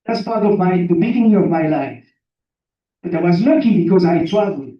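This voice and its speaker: A man's voice: high voice, lively intonation, a little fast. A man from Belgium, a native French speaker with a French accent, gives a motivational talk in simple English, repeating phrases for emphasis and putting questions to listeners. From Belgium, born in Burundi. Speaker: seminar guest speaker. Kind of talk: motivational talk to an audience, telling own life story. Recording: very echoey room, distant mic, muffled.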